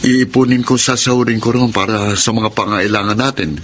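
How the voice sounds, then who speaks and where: Actor as a young Filipino son, noisy recording. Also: male voice, on the low side, steady tone, a little fast, natural voice. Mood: happy, hopeful. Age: young adult. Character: respectful, hopeful college-age son and big brother. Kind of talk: Tagalog radio drama acting. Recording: noisy, hissy radio recording.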